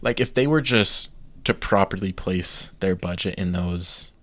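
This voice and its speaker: Low-voiced guy on a podcast laying out his points, dry mic. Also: a little slow, fairly bright tone, no room sound. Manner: eager, builds his case point by point. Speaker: podcast co-host. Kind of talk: casual podcast chat.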